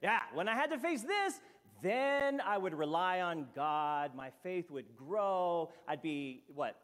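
Animated man preaching in a reverberant hall. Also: high voice, slow pace. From Hawaii. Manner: animated storytelling with sweeping gestures and asides. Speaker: senior pastor. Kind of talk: sermon. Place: church sanctuary at a pulpit. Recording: long reverb of a church hall.

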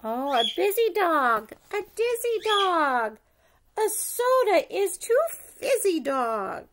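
A middle-aged woman reads a rhyming picture book aloud to young children in an expressive sing-song way, pausing to ask them questions. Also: very high voice. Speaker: preschool story-time teacher reading aloud. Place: living room at home, indoors.

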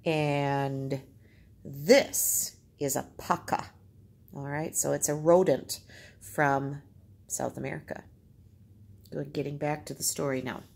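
Slow, husky woman reading a lesson. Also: sits low, steady tone, a little mellow. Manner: explanatory, simple phrasing. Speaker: teacher reading aloud. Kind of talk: storytime lesson, reading aloud with explanations.

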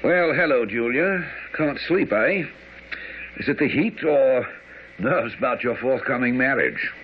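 An actor playing a domineering middle-aged stepfather, smooth but menacing, in a radio mystery drama. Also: mid-range voice, animated, unhurried, lightly acted. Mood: falsely genial. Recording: dull, noisy vintage radio recording.

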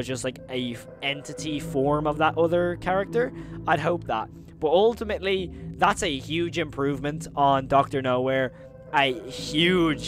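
A young adult man reacting to a video in a casual, enthusiastic, slangy way, talking close into a microphone in a bedroom studio. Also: a little high. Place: small bedroom studio, indoors. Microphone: close boom-arm microphone.

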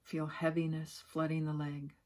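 A woman guiding a yoga nidra relaxation in a soft, breathy, soothing voice, giving gentle instructions with long pauses. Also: low-pitched, monotone. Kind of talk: guided relaxation meditation.

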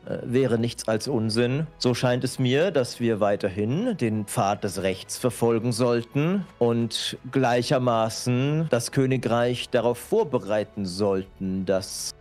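A stately royal adviser played by a man in a fantasy game, sober and calculating. His mid-pitched voice is measured and formal, over faint music. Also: a little mellow, close to an everyday voice. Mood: measured, cautioning.

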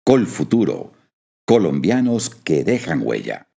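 A man's voice: sits low, huge pitch swings, slow, gravelly, warm tone, slight background noise. A man reading scripted narration for a promotional video.

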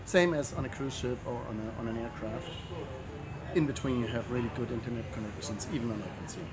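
Middle-aged founder, casual halting answers, mid-range voice, loud traffic and outdoor noise around him. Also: male voice, mid-range voice. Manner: hesitant, repeats words and restarts, relaxed. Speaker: project founder. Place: sunny outdoor terrace among palms. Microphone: camera in front, two people framed.

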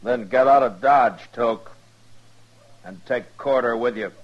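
An actor playing a weary, steady frontier marshal in a 1950s radio western, terse and grave, with an American accent. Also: male voice, mid-range voice, slow pace, no echo. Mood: stern. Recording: dull 1950s radio recording.

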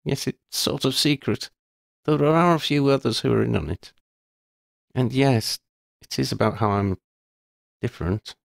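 A man's voice: medium pitch, moderate pace, gravelly, long pauses, no room sound, pitched above the performer's own voice. A man reading aloud and voicing a frank, protective teenage schoolgirl who speaks earnestly and reassuringly. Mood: wry. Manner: raised put-on voice, earnest and reassuring.

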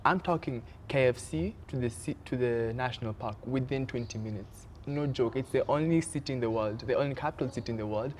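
A man's voice: mid-range voice, fairly quick, few pauses, a little mellow, slight background noise. Upbeat young Kenyan man outdoors. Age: young adult. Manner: upbeat, chatty, laughs easily. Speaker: singing contestant. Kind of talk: casual interview answers. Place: outdoor courtyard garden.

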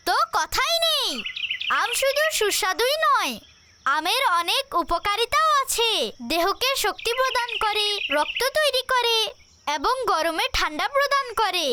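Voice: very high-pitched, heavy background noise, full character voice. A performer voicing a cheerful, eager little boy in a children's cartoon, exclaiming and instructing young viewers enthusiastically. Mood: delighted.

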